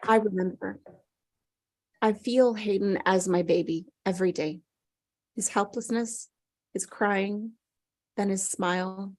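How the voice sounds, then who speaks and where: A woman's voice: medium pitch, steady tone, takes it slowly, pauses often, darkish tone, dry recording. Woman acting wistful mother, close dry sound. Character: wistful, sorrowful mother clinging to her child. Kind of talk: dramatic script table read.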